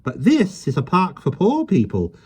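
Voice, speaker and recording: A man's voice: high-pitched, wildly swooping pitch, moderate pace, dark tone, slightly rough, voice pushed up, heavily acted. A man reading a storybook aloud, voicing a cheerful, chatty little carpenter with quaint old-fashioned sayings.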